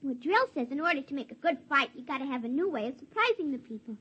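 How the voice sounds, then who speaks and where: An actress playing an earnest, excitable little girl in 1950s radio drama, insistent and tripping over her words. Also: sits high, fast. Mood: earnest, boastful. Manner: breathless, insistent, childlike stumbling over words. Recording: dull vintage 1950s radio recording.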